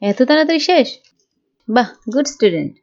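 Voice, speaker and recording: Woman doing a young lady tutor in a cartoon, highish voice, fed up and scolding kids, muffled lo-fi sound. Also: big pitch swings, fairly quick, a little mellow. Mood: patient. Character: exasperated young female private tutor of mischievous children. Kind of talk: amateur cartoon voice-over. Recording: dull lo-fi audio with music behind.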